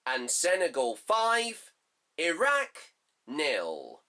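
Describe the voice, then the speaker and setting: A man hosts a fan football show, his voice slightly hoarse. He reads out scores and match facts slowly, in a bright, tense, cutting tone. He halts often and leans on fillers as he reads from his notes. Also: fairly high pitch, huge pitch swings. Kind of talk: reading results and previewing a match.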